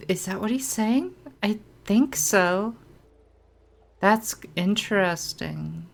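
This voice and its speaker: A woman's voice: fairly low pitch, creaky, fairly bright tone. A woman gaming streamer giving casual reaction commentary, musing and thinking aloud.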